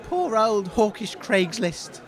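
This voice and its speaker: A man's voice: high-pitched, sing-song, takes it slowly, a faint crowd, exaggerated voice. A man playing an earnest, easily horrified radio documentarian, florid and melodramatic, in a comedy audio drama. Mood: melodramatic horror. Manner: melodramatic, wailing, florid wording.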